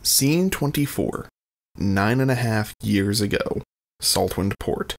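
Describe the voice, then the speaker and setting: A man's voice: lowish voice, animated, takes it slowly, vocal fry. A man giving scripted narration, announcing and setting scenes as a narrator.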